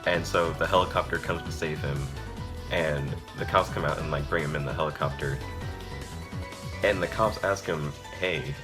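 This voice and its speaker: A young man with an extremely deep voice reviews a film in a loose, exasperated chat. He pads his talk with fillers and short laughs. Music plays clearly behind him. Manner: chatty, exasperated, filler-heavy with frequent laughs. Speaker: video reviewer. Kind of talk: casual movie review commentary.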